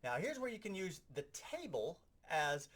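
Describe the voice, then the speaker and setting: A man giving an animated, explanatory, hands-on lesson as a sound effects instructor. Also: high voice, moderate pace, slight background noise.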